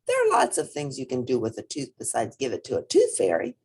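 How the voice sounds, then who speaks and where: A woman's voice: very deep voice, huge pitch swings, fairly quick, a little mellow, husky, exaggerated voice. A woman reading a storybook as a young girl rabbit, childlike, questioning and stubborn. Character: curious young girl rabbit with loose tooth.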